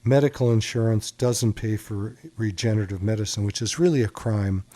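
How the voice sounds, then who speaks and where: A man's voice: lowish voice, fairly quick, mellow. A man hosting a radio call-in show as a doctor, chatty and reassuring with callers.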